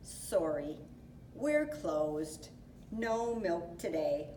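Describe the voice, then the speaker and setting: A woman voicing a herd of polite but demanding farm cows in a storybook, in measured, formal phrases. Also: on the low side, big pitch swings, warm tone, full character voice. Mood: curt. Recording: roomy echo, distant mic, faint noise.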